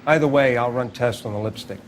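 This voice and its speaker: An actor playing a matter-of-fact male coroner in a noir detective game, measured and clinical. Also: mid-range voice, a little hiss or hum, close to an everyday voice. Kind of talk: video game dialogue acting, 1940s noir.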